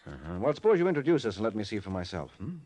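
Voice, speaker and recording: Casting: a hard-boiled radio detective, quick and clipped, sardonic and probing, swinging lively in pitch. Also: male voice. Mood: sardonic, pressing. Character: brash, wisecracking, hard-boiled male private detective. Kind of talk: 1940s radio detective acting. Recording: noisy vintage radio recording, clicks.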